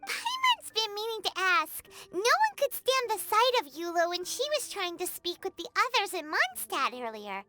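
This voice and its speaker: Tiny, piercingly high fairy sidekick. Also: female voice, huge pitch swings, moderate pace, few pauses, over music, heavily acted. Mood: curious. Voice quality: squeaky, childlike. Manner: speaks of self in third person. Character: small chirpy female fairy companion, childlike, blunt, curious. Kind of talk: video game voice acting.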